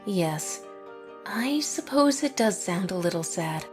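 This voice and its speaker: Older mother mare acted by a woman, soft, soothing, tender, mid-pitched, audio drama, faint music. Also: expressive, slow. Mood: wistful. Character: old mare, tender loving mother pony. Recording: gentle music behind the voice.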